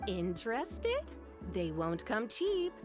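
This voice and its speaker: A woman's voice: medium pitch, huge pitch swings, slow pace, over music. A woman playing a shrewd yet kindly female stall merchant in an anime game, speaking in a confiding way. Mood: sly.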